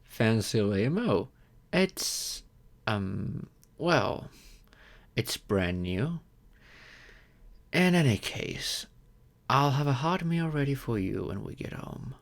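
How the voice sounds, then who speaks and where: A man playing a wealthy, grateful vampire in an ASMR audio roleplay, speaking in a gentle, courteous and measured way. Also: mid-range voice, very animated, gravelly, heavily acted. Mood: gentle, doting. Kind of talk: slow intimate ASMR roleplay monologue.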